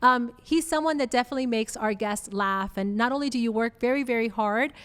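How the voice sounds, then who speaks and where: A woman's voice: on the high side, fairly quick, fairly bright tone, smooth, big-room sound. A woman hosting an interview podcast, upbeat and effusive as she introduces and questions her guest. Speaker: podcast host.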